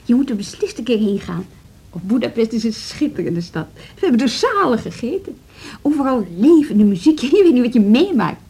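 Radio drama, assertive woman on school leadership, Netherlands Dutch accent, soft mellow tone, slightly husky, brisk delivery. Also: fairly high pitch, very animated, heavily acted. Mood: bright, concerned.

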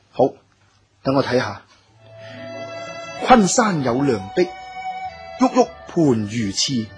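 An actor playing a dutiful, filial scholar-official in a radio drama, speaking measured, formal Cantonese with a husky voice. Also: male voice, a little high. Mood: wistful, then reciting slowly. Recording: music under the voice.

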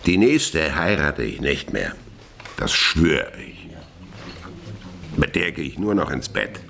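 Very deep, gravelly old police inspector. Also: male voice, sing-song, slow, heavily acted. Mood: weary, grumbling. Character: gruff, weary police inspector on the eve of retirement. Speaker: radio drama actor. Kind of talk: German radio crime drama dialogue.

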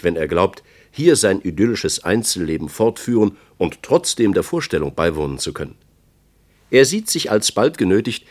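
An actor reading the words of a western eyewitness in an emphatic, reporting manner, in a radio play. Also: male voice, lowish voice, a little mellow.

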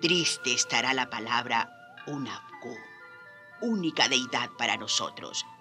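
Old native woman in a radio drama, slow and grave, voice swings a lot, music behind. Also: a bass voice, animated, full character voice. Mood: solemn lament. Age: older. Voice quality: hoarse when reading forcefully.

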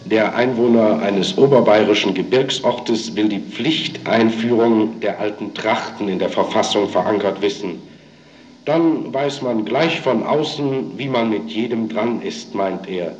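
A man playing a dry, formal reader, reporting from historical documents in a measured, scripted delivery. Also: on the low side, lightly acted. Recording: muffled, echoey room with faint noise.

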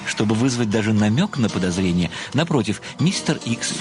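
An actor playing an earnest pensioner, an amateur detective and devoted admirer, speaking with formal, deferential precision in a theatrical audio drama. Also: male voice, on the low side, sing-song, moderate pace, over music. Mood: rapturous. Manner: formal, deferential, precise, declamatory when enthused.

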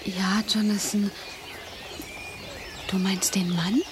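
A woman's voice: mid-range voice, little pitch movement, takes it slowly, background noise, lightly acted. A woman playing a caring, worried young woman in a radio drama, reading letters and diary entries in a composed, reflective way. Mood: concerned.

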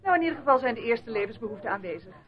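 A woman's voice: a little high, lively intonation, very fast, close to an everyday voice. An actress playing a helpful, businesslike woman who welcomes guests at a village inn, in a radio drama. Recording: dull old radio play recording.

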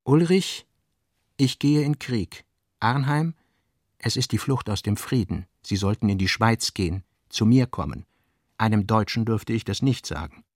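A male actor as the grave narrator of a philosophical novel in a radio play, reading in a measured, solemn literary manner. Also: lowish voice, expressive, dry recording, clean studio sound.

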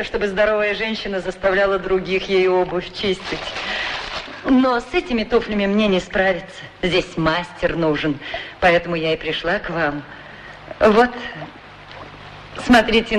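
A woman's voice: mid-range voice, lightly acted. Actress as shy middle-aged cleaning woman, reverberant. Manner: slightly embarrassed, polite, chatty. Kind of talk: radio play acting, conversational. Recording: reverberant radio drama recording, background noise.

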